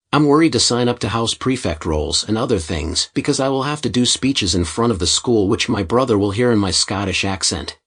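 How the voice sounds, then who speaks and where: A man narrating online posts as a steady, scripted story reading. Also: medium pitch, husky.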